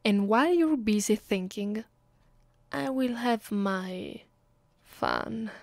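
A woman with an Italian accent playing a domineering, cruel vampire mistress in an ASMR roleplay, commanding and deliberate. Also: mid-range voice, expressive, vocal fry, dry recording. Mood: controlling. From Italy. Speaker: voice actress. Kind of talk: ASMR roleplay, close and slow with whispered moments.

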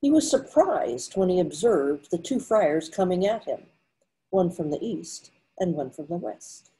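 An older woman reading scripted storybook narration in a measured, formal manner. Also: on the low side, expressive, dark tone, poor audio. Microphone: computer mic on a video call.

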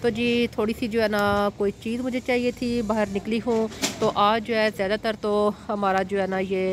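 A middle-aged woman vlogging on a phone camera, chatting as she walks through a shop and speaking softly and prayerfully at home. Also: mid-range voice, normal speed, background noise. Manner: chatty and lively walking around a shop, then soft and prayerful at home. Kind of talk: casual vlog then devotional closing. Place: street and supermarket, then indoors at home.

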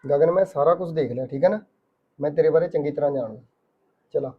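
A man's voice: fairly high pitch, fast talker, slightly rough, phone-quality sound. A man playing an indignant, protective husband in a family drama, firm and insistent. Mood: concerned, firm. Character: indignant, protective husband, visiting brother-in-law. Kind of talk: Punjabi domestic drama dialogue.

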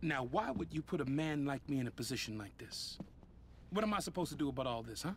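An actor playing a heavyset, menacing record mogul who threatens a rival in a game cutscene. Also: male voice, fairly high pitch, expressive, quick pace, slight background noise. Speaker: game villain.

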